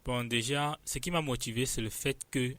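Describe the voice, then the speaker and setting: Bright-voiced young student explaining. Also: male voice, mid-range voice, fairly even intonation, normal speed. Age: young adult. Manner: earnest, explains step by step with restarts. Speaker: guest, student and app developer. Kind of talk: radio interview.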